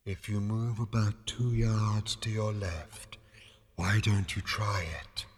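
A man voicing a courteous, wistful disembodied spirit with a quiet, deep, ethereal murmur, speaking slowly and formally, as in an audiobook. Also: steady tone, big-room sound. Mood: soothing, helpful. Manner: slow, politely formal, soothing.

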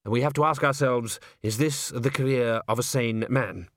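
A man voicing a calm, dryly sardonic opera music director in an audiobook, with a measured, patient, deadpan manner. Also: medium pitch, no room sound, clean studio sound, lightly acted. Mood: patiently sardonic.

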